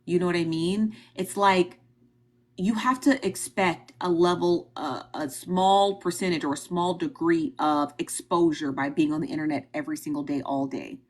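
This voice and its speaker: A Midwestern American woman with a fairly low voice hosts a casual live stream. She talks straight to her viewers in an emphatic way, circling back over her points and checking that they follow her. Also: steady tone, normal speed. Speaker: livestream host. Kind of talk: casual livestream commentary.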